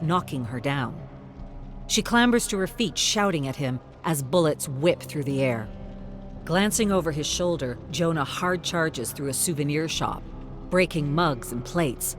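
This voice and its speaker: A narrator reading a dramatic scripted story in a tense, deliberate way. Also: mid-range voice. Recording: music behind the voice. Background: background music.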